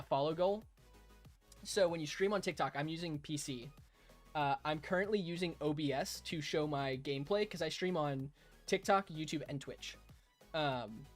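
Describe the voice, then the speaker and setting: A hoarse young man live-streaming a game, chatting casually with viewers, chuckling and thanking them. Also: on the high side. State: hoarse, raspy throat.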